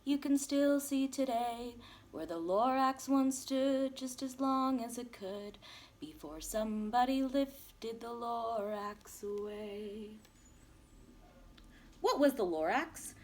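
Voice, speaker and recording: A woman's voice: a little high, slow pace, a little mellow. A woman teacher reading a rhyming children's storybook aloud with plenty of expression.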